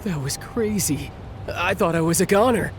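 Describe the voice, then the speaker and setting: Rattled, chatty monster onlooker. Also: female voice, fairly low pitch, lively intonation, moderate pace, slight background noise. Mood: shaken. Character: rattled, gossipy monster spectator in an arena. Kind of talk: video game cutscene acting.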